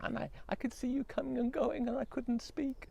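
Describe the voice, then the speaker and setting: A storyteller voicing a wife enchanted into a rose, speaking urgently and pleading. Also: male voice, very high voice, fairly even intonation, moderate pace, vocal fry, slightly rough, pitched above the performer's own voice, exaggerated voice. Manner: urgent, hushed.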